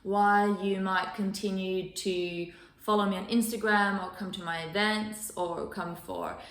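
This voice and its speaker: A woman's voice: mid-range voice, steady tone, measured pace, a bit bright. A woman from Denmark, a wellness coach and host, telling her own story in an earnest, enthusiastic way with many fillers and restarts. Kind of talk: solo self-introduction on a sofa. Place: living room sofa. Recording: roomy echo.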